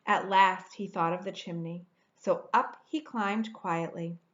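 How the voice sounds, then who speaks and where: A woman's voice: on the low side, fairly even intonation, unhurried, a bit bright, dull audio. A woman in her forties reading a children's storybook aloud expressively, stretching words for dramatic emphasis. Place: indoor room at home.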